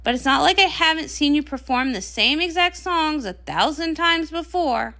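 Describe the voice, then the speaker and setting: A woman plays a stressed royal pony, the responsible older sister in a comic read-along. The voice is bright and expressive, earnest and defensive. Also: high voice, normal speed, exaggerated voice. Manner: apologetic, defensive.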